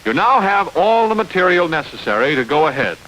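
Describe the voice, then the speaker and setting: A man reading scripted instructional narration for a training film, explaining step by step in a clear manner. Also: a little high, very animated, brisk, a bit bright, rough recording. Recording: hissy old training film soundtrack.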